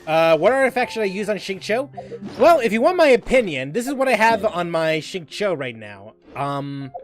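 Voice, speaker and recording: Lively high-voiced male game streamer. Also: moderate pace, soft background music. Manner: thinks aloud while playing and answers chat questions. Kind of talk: live gameplay commentary.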